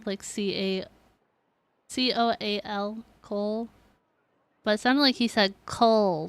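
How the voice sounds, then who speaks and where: A woman game streamer giving casual live commentary in an informal, amused way. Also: medium pitch.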